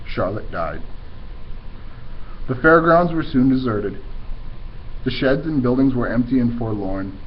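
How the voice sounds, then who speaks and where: A man reading storybooks aloud as a teacher would, in an expressive narrating style. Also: medium pitch, lo-fi sound, slight background noise.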